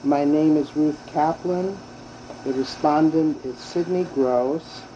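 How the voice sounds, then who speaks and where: A woman interviewer opens the session by stating its details in a slow, measured way. Her voice is low and mellow, and the old tape is dull with a faint hum behind it. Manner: measured, announces details plainly. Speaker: oral history interviewer. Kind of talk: formal interview opening and gentle questions.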